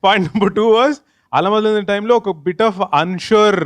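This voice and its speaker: A man's voice: sits high, steady tone, takes it slowly. A man with a Telugu accent telling anecdotes casually in a group interview, mixing in English phrases. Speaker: film team member being interviewed.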